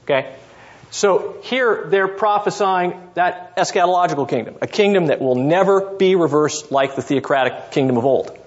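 A man's voice: high-pitched, moderate pace, dull audio. A man teaching a seminary class, explaining step by step and often checking in with his listeners. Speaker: seminary professor. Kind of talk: classroom lecture on scripture.

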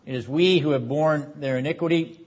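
A man lecturing on the Bible in a classroom, explaining didactically with rhetorical questions and frequent throat clearing. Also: on the high side, a little fast. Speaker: seminary lecturer. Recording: slightly dull classroom recording.

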